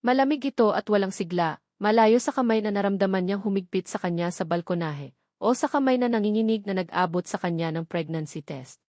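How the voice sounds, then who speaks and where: A woman's voice: medium pitch, fairly quick, darkish tone, dry recording. Woman narrating a dramatic romance audiobook, dry sound.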